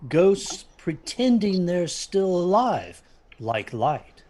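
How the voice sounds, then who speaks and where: A man playing an uneasy parent in an audio drama, speaking in a halting, ominous, husky way that drops toward whispers. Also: high voice, big pitch swings, warm tone, heavily acted. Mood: secretive, haunted. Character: uneasy parent warning children about haunted theaters.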